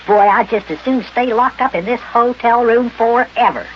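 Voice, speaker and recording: A man's voice: very high-pitched, moderate pace. A man voicing an indignant, folksy little boy elf in a 1950s children's radio serial. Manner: grumbling, exclamatory, folksy. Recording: dull, noisy old radio recording.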